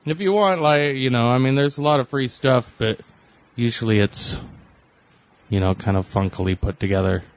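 A man co-hosting a casual chat, easygoing and halting, with many fillers. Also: mid-range voice. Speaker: co-host. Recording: dry close sound with faint music.

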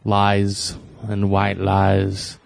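A man co-hosting a casual chat, easygoing and halting, with many fillers. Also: lowish voice, completely flat delivery, gravelly, no room sound. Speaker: co-host. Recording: dry close sound with faint music.

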